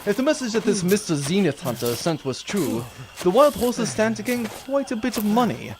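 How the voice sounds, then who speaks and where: A man's voice: sits high, big pitch swings, normal speed, noisy background. A man playing a cocky, flirtatious, oblivious space captain, bombastic and self-assured, in a comic sci-fi audio drama. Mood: bossy, confident.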